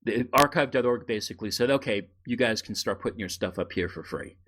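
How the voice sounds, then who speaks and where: A middle-aged man talks as a podcast co-host in a casual, conversational way. His pitch sits in the middle for a man. He tells stories with animation and slips into quoted voices as he goes. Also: a little fast, a bit bright. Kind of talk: casual chat, storytelling.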